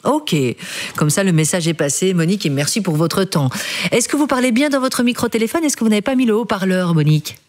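Woman radio quiz host, lively swooping pitch, playful teasing manner. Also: brisk. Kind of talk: live radio game show.

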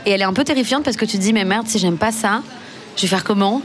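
A woman's voice: medium pitch, quick pace. A young woman from France in her twenties with a Parisian accent, a singer chatting spontaneously and hesitantly in an interview. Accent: Parisian French. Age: 20s. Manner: spontaneous, hesitant, many restarts and "euh". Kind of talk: casual interview chat. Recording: crowd noise behind.

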